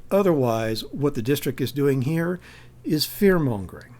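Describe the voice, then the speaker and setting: A man's voice: medium pitch, animated, moderate pace, mellow. An American man giving critical spoken commentary in an emphatic, insistent, argumentative way. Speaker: activist commentator.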